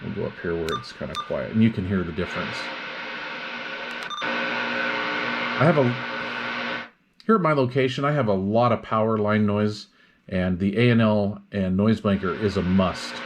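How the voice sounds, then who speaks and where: A man's voice: fairly low pitch, lively intonation, normal speed, long pauses, slight background noise. A man reviewing radio equipment in a casual, hands-on demonstration, explaining things step by step without hurry.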